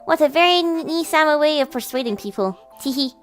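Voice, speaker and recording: A woman voicing a precocious, devoted little sister from a visual novel, with a soft, polite, doting manner. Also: sits high, lively intonation, brisk, soft background music, pitched above the performer's own voice. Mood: fond. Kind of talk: visual novel character voice in a let's play.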